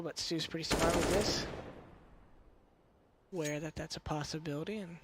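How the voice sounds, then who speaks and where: A man with a high-pitched, slightly nasal voice, commentating a game with chatty, analytical remarks. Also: noisy background. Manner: analytical, chatty, joking asides. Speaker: esports commentator.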